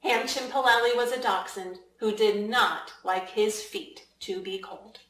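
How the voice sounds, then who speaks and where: A woman narrates a children's story in read-aloud style. Her voice sits at a middle pitch and she shapes phrases deliberately and expressively for young listeners. The room adds a clear echo around her. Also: a bit bright. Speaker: children's author reading aloud. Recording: echoey room.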